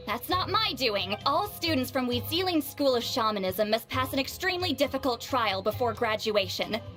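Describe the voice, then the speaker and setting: A proud, prickly shaman of great age speaks with a high, lively voice, full of haughty, dry sarcasm. It sounds like a game cutscene with soft music behind. She treats the others as beneath her. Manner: haughty, defensive and dryly sarcastic. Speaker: shaman character in a video game.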